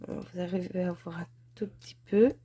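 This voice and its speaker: A woman's voice: on the low side, fairly even intonation, a little fast, dark tone, husky. Chatty woman with a head cold. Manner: chatty, gushing, filler-heavy. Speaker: crafts channel host. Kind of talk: casual unboxing chat.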